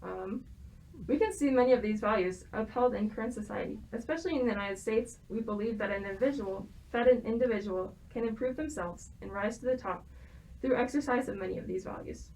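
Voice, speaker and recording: A woman's voice: medium pitch, a little fast, darkish tone, rough recording. A young woman, a student, explaining a topic from prepared notes, with frequent hesitations and restarts. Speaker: student guest expert.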